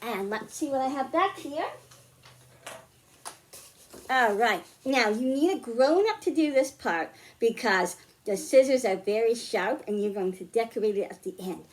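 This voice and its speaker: High-pitched woman leading a children's storytime. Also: expressive, a little slow. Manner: simple, encouraging address to young children. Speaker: children's librarian and storytime host. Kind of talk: children's storytime and craft demonstration.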